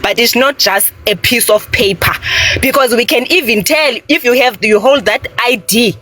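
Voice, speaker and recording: A woman with a Xhosa accent and a fairly high voice, arguing bluntly as a panelist on a live stream. She talks in a forceful, insulting way and repeats words when riled up. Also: moderate pace, soft background music. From South Africa. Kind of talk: heated live-stream argument.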